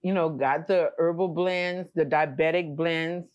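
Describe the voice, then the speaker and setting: A woman's voice: deep voice, steady tone, unhurried, darkish tone. Affirming woman host, dull lo-fi sound. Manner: affirming, many short backchannels. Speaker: herbal remedy seller hosting the interview. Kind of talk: casual interview chat.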